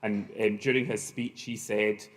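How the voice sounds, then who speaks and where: A man's voice: lowish voice, fairly even intonation, a little slow. A middle-aged man with a Glasgow accent giving opening remarks at a conference, hesitant, with many ums and restarts. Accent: Glaswegian. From Scotland.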